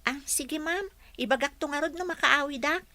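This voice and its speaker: A woman's voice: sits high, moderate pace, bright, forceful tone, no room sound. A woman playing a poor, dutiful young schoolgirl in a radio drama, earnest and polite. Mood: eager, respectful. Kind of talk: Filipino radio drama acting.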